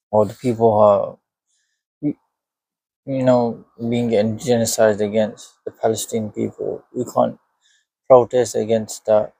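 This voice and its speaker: A man giving a halting street interview, full of fillers and restarts. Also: mid-range voice, creaky, mellow, no room sound, low-quality recording.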